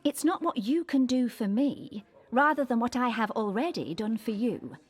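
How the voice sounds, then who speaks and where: A woman's voice: fairly high pitch, lively intonation, normal speed, slightly rough. An actress playing a pompous, pedantic forensics woman in an audio drama, speaking in a precise, formal way. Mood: pedantic, superior. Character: pompous, pedantic, self-important female police forensics colleague.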